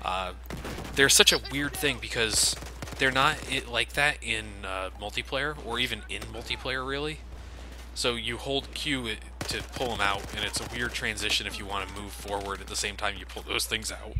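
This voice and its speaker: A young man giving relaxed, casual commentary over a game, swearing freely and laughing often. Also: lowish voice, moderate pace. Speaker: let's play commentator. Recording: game gunfire noise behind. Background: game gunfire and ambience.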